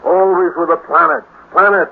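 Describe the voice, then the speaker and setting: Grumbling, higher-pitched radio dad. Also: male voice, flat delivery, normal speed. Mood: scornful. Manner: grumbling, scoffing, blunt, slangy. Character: grumpy, dismissive space-cop father of a teenager. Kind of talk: 1950s radio drama acting. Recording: scratchy, tinny 1950s radio recording with hiss.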